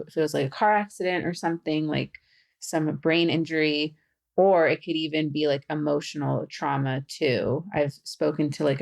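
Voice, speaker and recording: A young adult woman who stutters, speaking as a podcast guest in a relaxed conversational way, with halting phrasing and restarts. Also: low-pitched, creaky. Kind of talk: conversational interview.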